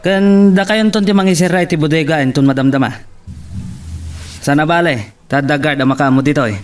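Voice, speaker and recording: A man's voice: a little high, fairly even intonation, a little fast, recorded from a distance, close to an everyday voice. A man with an Ilocano accent playing a lovelorn business owner in a romance radio drama. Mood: brooding. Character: lovelorn male boss and business owner, envying his friend.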